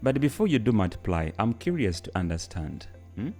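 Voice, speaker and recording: A man's voice: on the low side, sing-song, brisk, darkish tone, soft background music. A man hosting a podcast, asking curious questions and prompting his guest with frequent murmurs. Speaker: podcast host. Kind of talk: interview questions.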